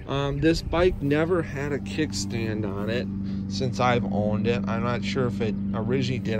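A man's voice: mid-range voice, creaky. A young adult man giving a casual, enthusiastic, unscripted review in colloquial speech. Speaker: vlogger reviewing vintage bikes. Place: outdoors, in a yard and driveway. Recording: outdoor handheld recording with ambient noise.

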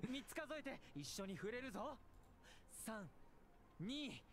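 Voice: medium pitch, sing-song, moderate pace, close to an everyday voice. An actor voicing a young, protective big brother in a Japanese video game. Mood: playful encouragement.

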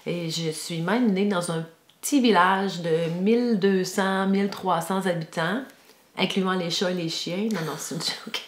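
A woman's voice: lowish voice, measured pace. A middle-aged woman with a Québécois French accent, chatting casually as a podcast co-host. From Quebec, Canada. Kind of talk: casual chat. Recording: roomy, echoey indoor sound.

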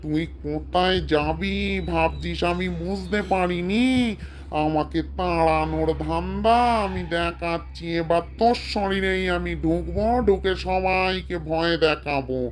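Man voicing a slow, vengeful cartoon ghost woman. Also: high voice, a little hiss or hum. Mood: menacing. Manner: slow, drawn-out taunting. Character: vengeful female ghost, gloating and menacing, in cartoon.

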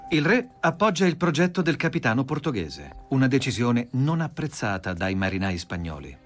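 A male narrator reading a scripted history documentary at a middle pitch, with a lively voice that climbs and falls as he tells the story. Faint music plays underneath. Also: normal speed. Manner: expressive, storytelling delivery.

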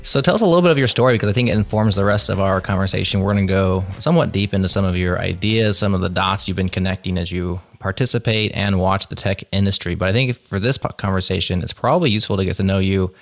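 A man hosting a podcast interview, asking long, loose questions in a casual way. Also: deep voice. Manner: fast, rambling questions. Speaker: podcast host.

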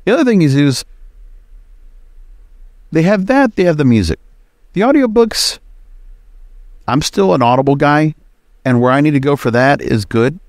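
A man's voice: on the high side, expressive, normal speed, pauses often, dry recording, studio quality. A man hosting a podcast, giving informal, opinionated commentary on his own in a conversational, emphatic way. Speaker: podcast host.

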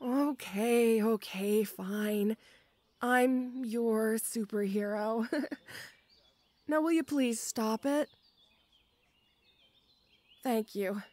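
A young woman playing a shy, gentle tomboy orc schoolgirl in an audio roleplay, with a hesitant, stammering, bashful manner. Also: a little high, extremely slow, pauses often, no echo. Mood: flustered.

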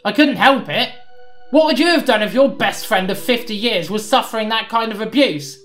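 Woman doing a raging male train engine, high and yelling, out for revenge. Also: lively intonation, moderate pace, soft background music, natural voice. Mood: defiant. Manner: screaming threats. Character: furious male engine, explosive, vengeful.

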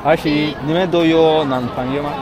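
A young man vlogging at a street festival, loud and playful as he chats with strangers, switching between Mandarin and English. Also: on the high side, steady tone, fairly quick. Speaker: travel vlogger. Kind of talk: street interviews at a party, casual. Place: crowded outdoor street festival. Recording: noisy outdoor party with blaring music. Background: loud music and crowd.

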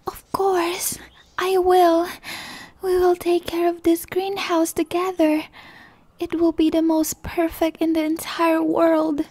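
A woman voicing a shy, innocent young tree dryad in a hesitant, breathy ASMR roleplay whisper. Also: very high voice, monotone, darkish tone, dry recording. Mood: earnest. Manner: hesitant, halting, pleading.

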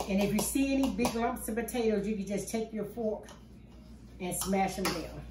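A woman's voice: mid-range voice, normal speed, background noise. Woman cooking in a roomy home kitchen. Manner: homey, step-by-step explaining. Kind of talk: casual cooking demonstration. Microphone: far camera on the counter. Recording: roomy kitchen sound from a distance.

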